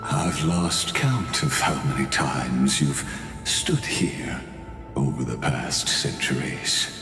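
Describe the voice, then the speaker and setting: Ancient prisoner mastermind for a game: condescending, theatrical, drawn-out pacing, rock-bottom register, music behind. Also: male voice, rumbling low, exaggerated voice. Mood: smug, ominous. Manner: slow, theatrical, mocking, with deliberate dramatic pauses. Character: calm, condescending male imprisoned villain, ancient scheming mastermind. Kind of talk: dramatic video game villain acting. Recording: echoey long reverb, music underneath.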